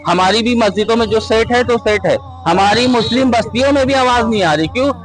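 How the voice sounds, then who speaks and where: Very high-pitched insistent young man. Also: fairly quick, music in the background, rough recording. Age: young adult. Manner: insistent, repetitive, tag questions. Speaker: member of the public interviewed. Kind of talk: spontaneous street interview.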